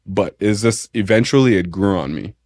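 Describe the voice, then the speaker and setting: A young man with an American accent, originally from St. Maarten, chats loosely about his music roots as an interview guest. His voice sits on the low side and wanders through fillers and restarts. The sound is close and dry, with no room around it. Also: animated, moderate pace, darkish tone, no echo. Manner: laid-back, rambling, lots of fillers. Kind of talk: casual interview conversation.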